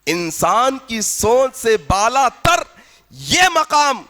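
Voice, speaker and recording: A man preaching an impassioned religious sermon, exhorting with repeated phrases, swinging between loud, chant-like proclamation and hushed exclamations. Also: extremely high pitch, sing-song.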